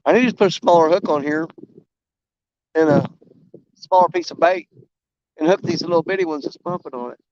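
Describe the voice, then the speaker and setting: A man's voice: a little high, a little fast, pauses often, darkish tone, muffled recording, a little hiss or hum. A man, losing his voice and coughing, chatting on a live stream while fishing by a river, rambling and poking fun at himself. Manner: rambling, self-mocking, drawling. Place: outdoors by a river.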